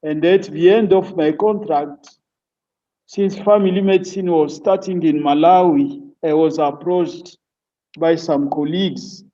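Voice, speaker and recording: Middle-aged male doctor, Congolese accent, slightly high but very mellow, measured interview answers, dull distant online call sound. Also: steady tone. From Democratic Republic of the Congo. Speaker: family physician, interview guest. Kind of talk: recounting his career in an interview. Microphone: online call on a weak connection.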